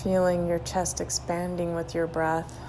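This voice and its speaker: A woman leading a guided meditation, soothing, with drawn-out phrases and long pauses between them. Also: on the low side, completely flat delivery, mellow, slight background noise, low-quality recording.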